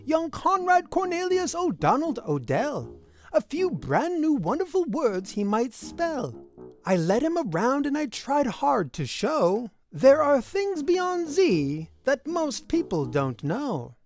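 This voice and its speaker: Animated, high-voiced woman reading to children. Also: slow, soft background music. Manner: animated, sing-song storytelling. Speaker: host reading a picture book aloud to children. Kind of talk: expressive children's read-aloud of rhyming verse.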